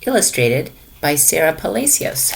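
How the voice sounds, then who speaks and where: A woman reading a children's picture book aloud in an expressive way, as if to young listeners in a lesson. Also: low voice, moderate pace, gravelly, slight background noise, rough recording. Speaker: storybook reader for a lesson.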